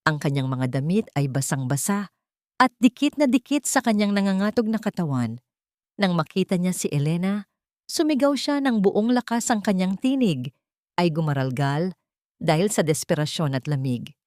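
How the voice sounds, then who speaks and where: A woman with a Filipino accent narrates a scripted dramatic story in a measured, solemn, suspenseful way. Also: lowish voice, very animated, darkish tone, no room sound, clean studio sound. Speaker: storyteller, narrator of audio stories.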